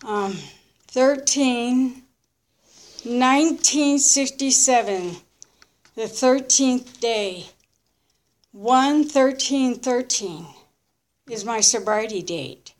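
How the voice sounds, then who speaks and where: A woman in her early fifties giving a candid, unscripted personal testimony in short, halting, reflective phrases. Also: fairly high pitch. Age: early 50s. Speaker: recovering alcoholic sharing her story.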